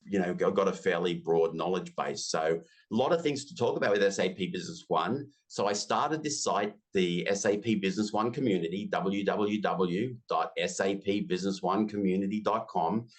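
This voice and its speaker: Low-voiced Australian man in his fifties. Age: 50s. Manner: chatty, self-deprecating, talks in quick asides. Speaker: interview guest, business software community founder. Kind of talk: casual interview chat.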